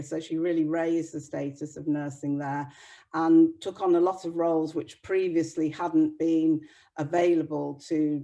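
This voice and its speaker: A woman giving an informal lecture in a steady, measured way. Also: low voice. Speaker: speaker giving a talk on nursing history.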